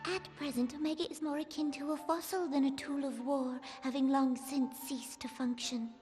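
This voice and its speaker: A woman's voice: sits high, fairly even intonation, moderate pace, darkish tone, soft background music, close to an everyday voice. A woman playing a shrewd, knowing scholar in a fantasy game, with precise, formal, old-fashioned diction. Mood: cool, knowing.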